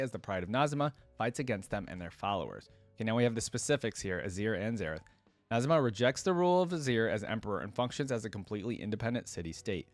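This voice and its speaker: A man's voice: mid-range voice, no room sound. A man hosting a reaction video, reading lore aloud and adding casual, informal commentary as he goes.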